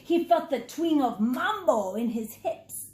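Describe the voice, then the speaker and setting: High-pitched, animated woman storyteller. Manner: dramatic, deliberate storytelling for young children. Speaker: reading teacher. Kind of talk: animated children's story read-aloud. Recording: echoey, roomy sound.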